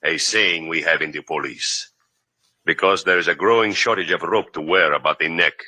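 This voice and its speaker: Courtly, sharp-voiced inspector. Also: male voice, low-pitched, normal speed, no room sound, full character voice. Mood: formal, sardonic. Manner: florid, formal, mock-polite. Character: courtly, sardonic male Cuban police inspector. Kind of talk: 1950s radio drama acting.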